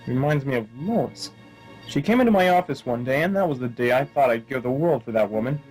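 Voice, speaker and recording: A man playing a hapless, wry private detective in a comic noir radio play, delivered deadpan. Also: medium pitch, fairly quick, faint music behind, lightly acted, poor audio. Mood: world-weary.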